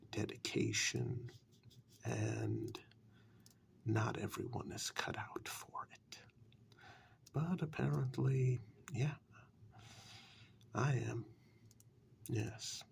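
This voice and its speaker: A man voicing an ancient, powerful warlock in a soft-spoken ASMR fantasy role-play, gravelly and half-whispered, calm, menacing and condescending, with long deliberate pauses. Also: on the low side, a little hiss or hum, full character voice. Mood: ominous, proud.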